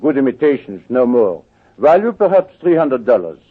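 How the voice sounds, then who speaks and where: Suave French villain, husky, tinny radio. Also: male voice, medium pitch, big pitch swings, moderate pace. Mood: smooth, smug. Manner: oily, mock-polite, hesitant formal phrasing. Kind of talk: 1940s radio drama acting. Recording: muffled, tinny old radio recording.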